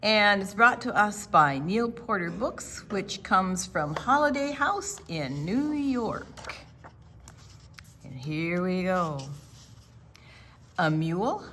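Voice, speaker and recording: A woman reading a picture book aloud to young children in a deliberate, storytelling way, with asides to her listeners. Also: medium pitch, fairly bright tone, slight background noise. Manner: slow, deliberate phrasing with questions and asides to the listeners. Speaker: library storytime reader. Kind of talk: expressive read-aloud narration for young children.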